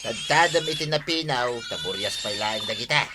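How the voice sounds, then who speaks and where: Casting: actor as argumentative Ilocano countryman, mid-pitched male, rural radio comedy, clear noise and ambience behind. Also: lively intonation, moderate pace, natural voice. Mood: practical.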